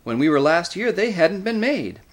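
A man voicing a curious, eager schoolboy adventurer in a storybook, hushed and full of wonder. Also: sits high, very animated, normal speed, pitched above the performer's own voice. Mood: awed. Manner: whispering, wondering.

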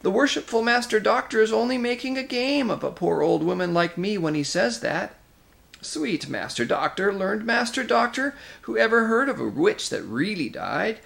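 A man voicing a fawning, falsely sweet old hag with a shrill whining voice in a storybook reading. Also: big pitch swings, normal speed, vocal fry, a bit bright, heavily acted. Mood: fawning, wheedling.

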